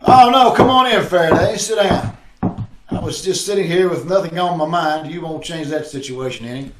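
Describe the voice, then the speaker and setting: An actor playing a cocky, quick-witted amateur sleuth in a wisecracking 1940s radio detective style. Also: male voice, a little high, very noisy, close to an everyday voice. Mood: smug, wisecracking. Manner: wisecracking, slangy. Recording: roomy, echoing video-call audio.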